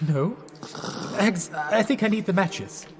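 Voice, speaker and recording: An actor playing a bumbling, polite young English gentleman in an audio drama, hesitant and bewildered. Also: high voice, slow, background noise. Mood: uneasy. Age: young adult. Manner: hesitant, genteel, flustered. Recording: long hall reverb, music behind.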